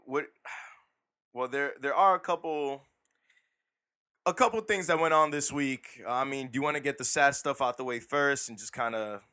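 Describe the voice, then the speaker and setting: A man telling stories on a podcast, laid-back and full of slang. His pitch sits in the middle, but his tone is piercingly bright. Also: moderate pace, smooth. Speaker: podcast co-host. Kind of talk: casual podcast chat.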